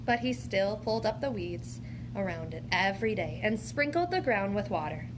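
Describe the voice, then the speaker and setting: A woman's voice: medium pitch, lively intonation, moderate pace. A woman teacher demonstrating an interactive read-aloud, speaking to a child in an encouraging, questioning way. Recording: clear background noise.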